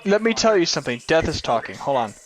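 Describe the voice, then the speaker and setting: A man's voice: on the high side, sing-song, fast talker, rough recording. A man co-hosting a casual gaming show, teasing the others and laughing often. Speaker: show co-host, gamer. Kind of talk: casual gaming podcast chat. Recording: game music underneath.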